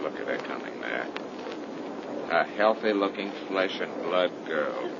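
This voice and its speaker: Cocky no-nonsense young man in 30s radio horror, slangy, very animated, muffled noisy old recording. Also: sits low. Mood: relieved, scornful. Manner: brisk, slangy, emphatic. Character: brash, sceptical young man, hearty and commanding. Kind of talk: 1930s radio horror drama acting.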